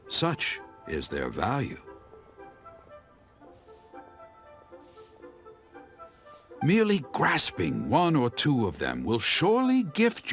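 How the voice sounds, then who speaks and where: An actor playing a theatrical teahouse storyteller in a game, dramatic and flowery, savoring each phrase. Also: male voice, medium pitch. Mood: awed, measured. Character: theatrical male teahouse storyteller spinning grand tales. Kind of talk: storytelling in an English game dub.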